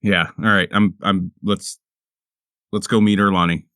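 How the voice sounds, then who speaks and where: Low-voiced man at a gaming table. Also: level tone, a little slow, a bit bright, no room sound, studio quality. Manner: hesitant, repeating words, casual swearing. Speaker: player in a tabletop roleplaying game. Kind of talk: casual table chat and in-character play.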